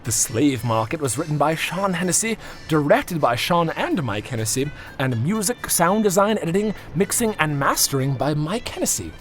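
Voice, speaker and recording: An actor in a comic audio drama playing a pompous, eccentric inspector as announcer, narrating theatrically. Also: male voice, on the high side, big pitch swings, normal speed, faint music behind. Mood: grandiose. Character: pompous, eccentric chief inspector hosting the show. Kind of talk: comic scripted narration, reading credits.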